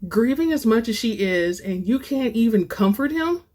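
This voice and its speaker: A woman's voice: a little high, expressive, normal speed, darkish tone. A woman voicing a scheming, scornful companion in a dramatic story reading, scoffing, coaxing and impatient. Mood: disdainful. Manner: scoffing, cajoling, demanding.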